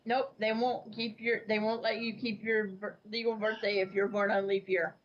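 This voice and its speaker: A woman in her sixties on a live video chat speaks bluntly and matter-of-factly. Her voice is mid-pitched and the sound is a bit dull and muffled. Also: level tone, normal speed. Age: 60s. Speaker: regular guest. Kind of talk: casual chat.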